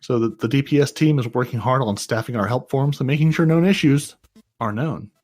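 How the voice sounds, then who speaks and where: A man's voice: mid-range voice, fairly quick, darkish tone. A man co-hosting a casual gaming podcast, chatty and self-mocking, often repeating his words as he reads out news. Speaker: podcast co-host.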